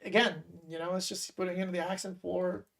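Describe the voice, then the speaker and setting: A woman from Montreal hosts a casual podcast chat. Her voice sits low with a gravelly fry running through it, and she talks in an animated way, scattering fillers as she goes. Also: fairly quick. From Montreal, Canada. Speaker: podcast host. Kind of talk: casual interview.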